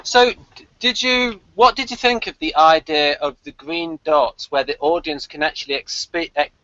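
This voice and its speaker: High, bright, animated man debating. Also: moderate pace, highs cut off. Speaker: podcast co-host leading questions. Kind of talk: casual sci-fi TV review chat.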